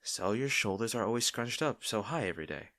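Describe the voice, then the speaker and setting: Man acting a caring, gently firm boyfriend. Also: fairly low pitch, lively intonation, a little fast. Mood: soft, understanding. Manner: tender, coaxing, gently insistent. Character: caring, gently firm young boyfriend soothing an overworked partner. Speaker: audio roleplay performer. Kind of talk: boyfriend roleplay audio, intimate one-sided dialogue.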